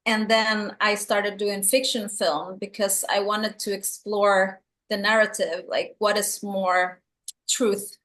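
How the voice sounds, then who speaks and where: A woman filmmaker from El Salvador, middle-aged, talks in an interview in English marked by a Swedish and San Francisco accent. Her voice sits at an ordinary pitch for a woman. She answers earnestly, doubling words as she gathers her thoughts. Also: flat delivery, takes it slowly, fairly bright tone. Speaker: filmmaker guest.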